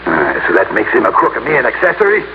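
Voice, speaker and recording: A man's voice: medium pitch, huge pitch swings, fast. A male actor playing a defensive, jittery ex-con in a 1950s radio crime drama, evasive and stammering as he repeats himself. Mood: defensive, agitated. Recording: muffled, noisy old radio broadcast.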